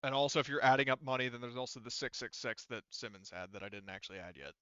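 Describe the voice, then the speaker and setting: A man casually narrating an online tabletop game as game master, halting and repeating himself as he goes. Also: mid-range voice, fairly even intonation, pushed and bright, clear, resonant tone, no room sound, muffled recording. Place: online voice chat.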